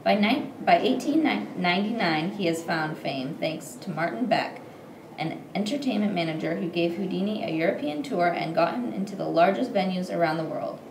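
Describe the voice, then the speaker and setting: A woman's voice: fairly low pitch, animated, normal speed. A young woman reads a scripted history report aloud as a student presenter, occasionally stumbling over her words. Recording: roomy echo, clear background noise.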